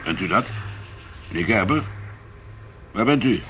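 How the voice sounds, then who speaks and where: An actor playing a weary, conscientious police commissioner in a radio drama, with a probing, plain-spoken manner. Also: male voice, on the low side, expressive, measured pace, fairly bright tone, slight background noise. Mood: insistent.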